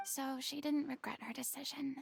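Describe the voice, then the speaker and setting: A woman's voice: high voice, steady tone, normal speed, vocal fry, a little hiss or hum. A woman playing an earnest, compassionate young investigator in a video game, speaking thoughtfully. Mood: somber.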